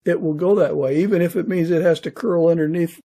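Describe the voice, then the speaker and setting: A man speaking as a podcast guest in casual, reflective conversation, thoughtful and a little halting. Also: on the high side, steady tone, dark tone.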